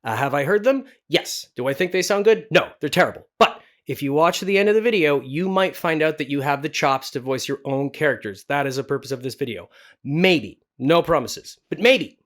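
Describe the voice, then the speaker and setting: A man's voice: fairly high pitch, expressive, brisk, a bit bright. A man hosting a tutorial in a chatty, self-deprecating way, like a working voice actor talking to viewers. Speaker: video host and voice actor. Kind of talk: casual scripted tutorial to camera.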